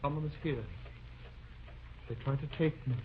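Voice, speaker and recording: Actor as theatrical, desperate fugitive, muffled. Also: male voice, fairly high pitch, steady tone, normal speed, noisy background, close to an everyday voice. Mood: paranoid menace. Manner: archaic, theatrical speech. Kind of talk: 1950s radio drama acting. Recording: muffled, distant vintage radio recording.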